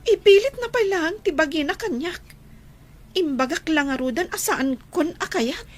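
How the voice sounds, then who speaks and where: An actress with an Ilocano accent playing a firm, fed-up young student rejecting a sweetheart in a radio drama. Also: female voice, very high voice, lively intonation, moderate pace, soft background music. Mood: exasperated.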